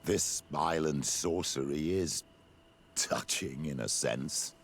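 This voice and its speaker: An actor playing a haughty, condescending male churchman in a fantasy video game, with a deep, gravelly voice. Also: takes it slowly, heavily acted. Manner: pompous, condescending. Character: haughty, pompous male churchman scornful of pagan belief. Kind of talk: video game cutscene acting.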